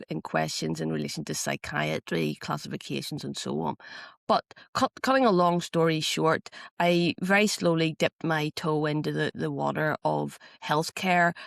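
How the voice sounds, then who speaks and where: A woman's voice: sits low, creaky, no room sound, clean studio sound. A woman in her forties from Belfast with a Northern Irish accent, speaking as an interview guest in an articulate, reflective way and thinking aloud. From Northern Ireland. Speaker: guest, philosopher and researcher. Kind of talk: podcast interview.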